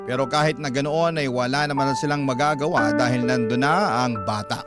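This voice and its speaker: Filipino male narrator over loud music. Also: mid-range voice, a little fast. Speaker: narrator reading a letter-sender's story. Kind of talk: dramatic scripted radio narration.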